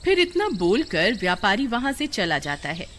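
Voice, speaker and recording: A woman's voice: mid-range voice, sing-song, normal speed. A woman narrating a scripted children's moral story as the storyteller. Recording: loud background noise.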